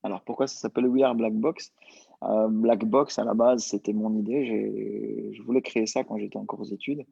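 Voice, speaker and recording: A young man answering interview questions casually, relaxed and hesitant with many fillers. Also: fairly low pitch, normal speed, creaky, slightly rough, no echo. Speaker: entrepreneur guest. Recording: dull lo-fi sound.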